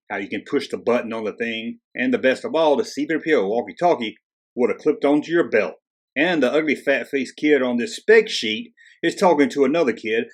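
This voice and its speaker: A man's voice: medium pitch, husky. A man hosting a toy-collecting video channel, narrating casually with wisecracking, sarcastic asides in a conversational way. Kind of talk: jokey, casual scripted narration.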